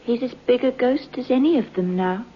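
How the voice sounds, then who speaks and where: An actress playing an excitable country woman bearing grim news in an old radio drama, with a husky voice. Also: on the high side, moderate pace, slight background noise, close to an everyday voice. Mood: dramatic, mournful. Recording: dull vintage radio recording.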